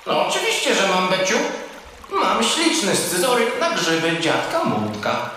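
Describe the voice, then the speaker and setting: A man playing a boastful, fussy, excitable little furry animal in a children's audio play. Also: high voice, a little slow, very echoey, long reverb tail, a little hiss or hum, full character voice, poor audio. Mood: boastful, eager. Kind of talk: children's audio drama acting.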